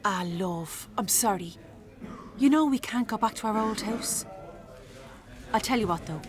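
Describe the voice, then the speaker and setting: A woman's voice: mid-range voice, expressive, unhurried, a crowd in the background, natural voice. An actress in a radio play playing a harried but tender mother, colloquial and motherly.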